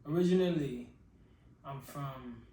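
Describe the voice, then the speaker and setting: A man's voice: medium pitch, a little slow, dark tone. A young man from Nigeria talking unscripted about himself, reflective and rambling, often stopping to restart his sentences. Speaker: vlogger. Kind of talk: unscripted personal monologue. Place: his own secluded room. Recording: roomy echo, distant mic, background noise.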